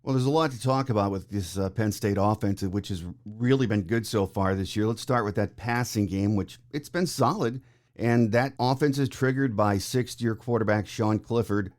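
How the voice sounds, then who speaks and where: A man's voice: on the low side, normal speed, darkish tone. A man hosting a sports podcast, giving his commentary and interviewing a guest. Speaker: podcast host.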